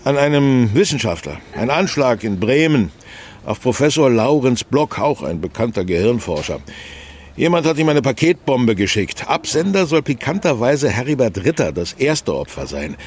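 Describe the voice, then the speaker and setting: An actor playing a probing, ironic magazine editor in a radio drama, with pointed, insistent questioning. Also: male voice, medium pitch, sing-song, moderate pace, darkish tone, a little hiss or hum, lightly acted. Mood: intent.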